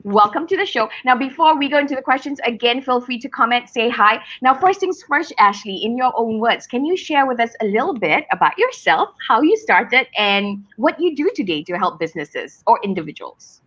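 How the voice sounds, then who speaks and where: A woman hosting a live online interview, chatty and lively, laughing often. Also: on the high side, fairly quick, hardly any pauses, highs cut off. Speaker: host.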